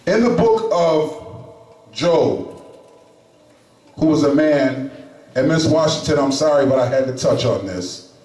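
A middle-aged man preaching a sermon in a small chapel into a handheld microphone, emphatic and pausing often. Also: fairly high pitch, expressive, darkish tone. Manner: slow, emphatic preaching with pauses. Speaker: lay preacher. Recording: echoey room, camera at a distance.

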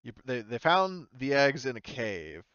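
A man's voice: mid-range voice, sing-song, a little slow, fairly bright tone, clear, resonant tone, no echo, highs cut off. A man running a tabletop game as game master, narrating off the cuff in an informal, explanatory way and swearing casually.

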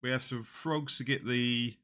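A man in his forties with a slightly nasal voice from a cold, quietly talking through a mobile puzzle game on a headset. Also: mid-range voice, fairly even intonation. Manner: slow, muttering play-by-play, often trailing off. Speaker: gaming channel host. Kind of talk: casual mobile game commentary. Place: small room indoors.

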